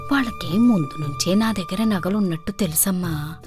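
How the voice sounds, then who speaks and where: Elderly village matriarch played by a woman, gentle and motherly, expressive delivery for a storybook cartoon. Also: medium pitch, normal speed, over music, lightly acted. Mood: trusting, affectionate.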